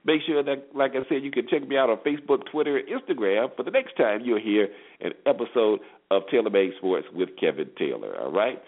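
A man hosting a sports show, giving conversational commentary and recaps with pauses and repeated words. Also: medium pitch, animated. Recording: tinny narrow-band phone-like sound.

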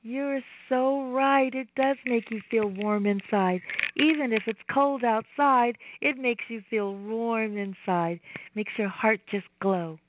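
Slow, high-voiced woman hosting bedtime show. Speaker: children's storytelling show host. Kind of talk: bedtime show chat for children. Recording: thin phone line, roomy echo.

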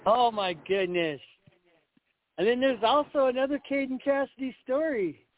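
Very high-voiced man, tinny line. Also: normal speed, a little hiss or hum. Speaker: co-host. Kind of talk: casual chat. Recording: dry tinny phone line.

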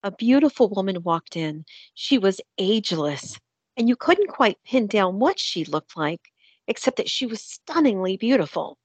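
A woman's voice: medium pitch, big pitch swings, moderate pace, slightly rough, dry recording. A woman narrating a scripted fantasy drama.

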